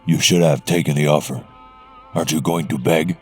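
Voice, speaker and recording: An actor voicing a cold, patient villain in a video game, deliberate, threatening and taunting. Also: male voice, extremely low pitch, fairly even intonation, darkish tone, slightly rough, soft background music. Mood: cold menace. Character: menacing, coldly patient male villain offering deals. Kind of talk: video game character dialogue.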